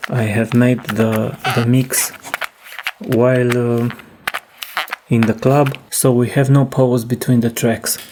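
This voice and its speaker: A man narrating a hands-on repair in a casual, halting way, thinking aloud as he works. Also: medium pitch, little pitch movement, background noise. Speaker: host demonstrating a cassette repair.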